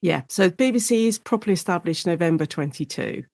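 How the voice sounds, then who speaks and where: British woman lecturer chatting as a podcast guest, lowish voice, dry room, explains stuff in a lively informal way. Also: fast talker, no room sound. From United Kingdom. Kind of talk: conversational expert interview.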